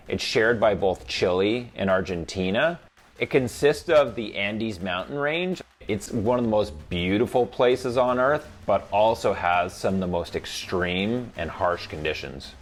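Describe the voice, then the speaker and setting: A man, an ultramarathon runner, speaking in a reflective, measured way in a documentary interview. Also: fairly low pitch. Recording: music bed under the voice.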